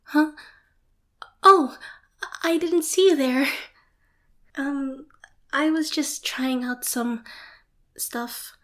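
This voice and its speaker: A young woman playing a shy, nervous, excitable theatre student in an ASMR roleplay, hesitant, flustered and apologetic. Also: high voice, drawn-out words, pauses often, heavily acted. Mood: shy, polite. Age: young adult. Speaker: amateur voice actor.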